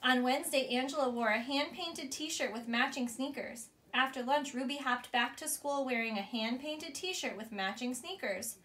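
Female storybook reader, thirties, high bright voice, expressive delivery, recorded in a small bedroom. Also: moderate pace, hardly any pauses. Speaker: teacher reading a bedtime story. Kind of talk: storybook read-aloud to a child. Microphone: camera a few feet away.

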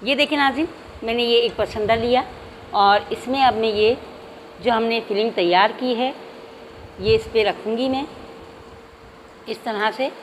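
Woman cooking host, bright high voice, steady and methodical, home-kitchen recording. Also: measured pace, pauses often, a little hiss or hum, poor audio. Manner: calm, methodical, addresses viewers directly. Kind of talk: step-by-step cooking instruction. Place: home kitchen, hands only on camera.

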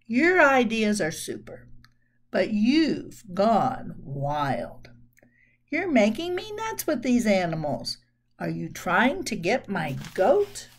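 A woman storyteller voicing a firm, exasperated but amused father in a children's story, deliberate and mock-stern. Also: medium pitch, wildly swooping pitch, pitched below the performer's own voice, full character voice.

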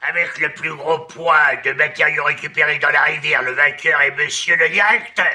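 An actor playing a pompous, jovial cartoon pig official, making ceremonious public announcements. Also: male voice, a little high, fairly even intonation, moderate pace, noisy background, close to an everyday voice. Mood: proud, jovial.

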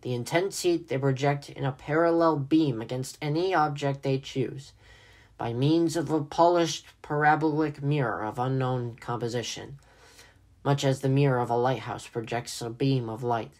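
A man playing a learned professor in a radio play, speaking in a pedantic, deliberate way. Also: mid-range voice, a little mellow, lightly acted. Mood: grave, scholarly. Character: learned male scientist professor giving grave eyewitness conjecture.

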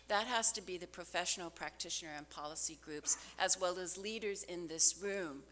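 An older woman gives a prepared keynote speech at a podium, deliberate and stressing key phrases. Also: on the low side, a bit bright, slight background noise. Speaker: foundation representative giving a keynote. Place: large hotel ballroom at a conference, on stage. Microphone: podium gooseneck microphone. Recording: hall reverb from conference ballroom PA.

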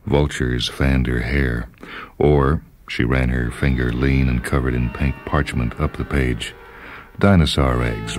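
A man reading literary short fiction as a radio host, in a measured, dramatic storytelling manner. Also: extremely low pitch, darkish tone. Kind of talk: literary scripted narration. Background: background music.

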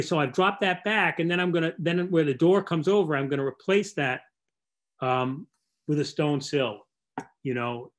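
An older man giving an informal slideshow talk over a video call, explaining in a halting way and often repeating words. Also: a little high. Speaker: barn restoration contractor giving a lecture. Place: indoor room, on a video call.

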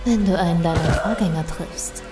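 A woman's voice: fairly low pitch, moderate pace, close to an everyday voice. A woman playing a cold, taunting, mysterious villainess in an audio drama, with theatrical delivery. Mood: menacing. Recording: echoing, rain and music behind.

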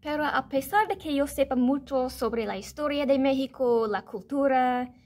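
American woman, 30s, higher voice, casual vlog to camera from her office desk, self-correcting. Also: normal speed. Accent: American English. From United States. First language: English. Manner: chatty, self-correcting, animated hand gestures. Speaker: vlogger. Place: office or classroom, indoors, medium room.

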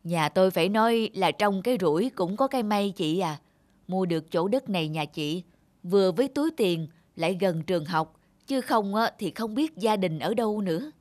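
A woman voicing characters in an animated moral story for children. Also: mid-range voice, moderate pace, no room sound, lightly acted. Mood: chatty. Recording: music under the dialogue.

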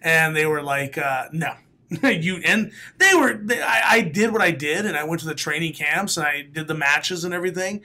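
Comedian guest, male, late 30s, raspy, bright forceful tone, animated talker, studio mic. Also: on the high side, moderate pace. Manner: animated storyteller, laughs often, bursts into loud announcer calls. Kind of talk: casual podcast interview. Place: small studio room. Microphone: studio mic, headphones.

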